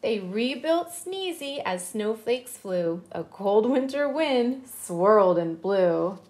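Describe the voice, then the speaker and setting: A woman in her thirties giving an expressive children's picture-book read-aloud, drawing out words for emphasis. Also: medium pitch. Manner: expressive, slow, drawn-out emphasis. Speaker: children's storybook reader.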